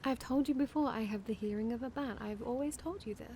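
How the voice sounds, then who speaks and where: A woman's voice: a little high, fast, background noise, lightly acted. A woman playing a playful, smug and possessive vampiress in an ASMR roleplay, with a dramatic, coy delivery. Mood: indignant.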